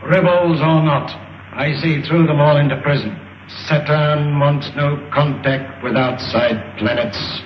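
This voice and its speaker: Man acting impatient space councillor, emphatic, harsh, raised pitch, old film serial, roomy echo, slight noise. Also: fairly even intonation, unhurried, lightly acted. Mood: stern, impatient. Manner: emphatic, pronouncing judgement. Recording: echoey muffled noisy old film soundtrack.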